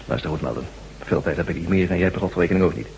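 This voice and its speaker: An actor playing a mysterious, commanding armed stranger in a radio drama, terse, evasive and coolly menacing. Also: male voice, low voice, very fast, a little mellow. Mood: instructing, secretive. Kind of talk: Dutch radio drama acting. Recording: hum and noise, long reverb.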